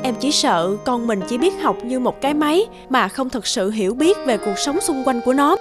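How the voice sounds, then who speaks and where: A woman voicing an understanding mother in an animated moral story, speaking gently, earnestly and persuasively. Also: sits high, normal speed, over music.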